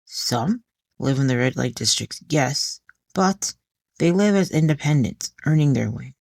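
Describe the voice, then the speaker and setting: A woman narrates a dramatic piece of fiction at a slow, deliberate pace. The recording is very dry, with no room around her voice. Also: very deep voice, animated, gravelly, a little mellow, no echo. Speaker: audiobook narrator. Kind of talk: scripted dramatic story narration.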